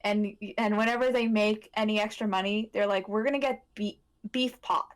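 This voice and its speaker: A woman chats casually as a podcast co-host at a middling pitch. She wanders through her thoughts, breaking off and picking them up again, with plenty of "like" along the way. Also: steady tone, moderate pace. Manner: rambling, self-interrupting with frequent "like". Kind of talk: casual chat.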